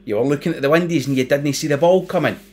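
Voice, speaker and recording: A Scottish man voicing an angry, thuggish young man who speaks in a gruff, scornful way. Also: on the high side, fast talker, darkish tone, lightly acted.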